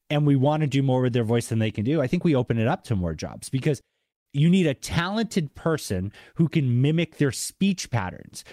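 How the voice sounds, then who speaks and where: An enthusiastic man with a mild Boston accent hosts a conversational podcast. His voice sits at a middle pitch and is recorded dry, with hardly any room around it. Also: a little mellow, dry recording. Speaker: podcast host. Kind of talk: casual interview chat.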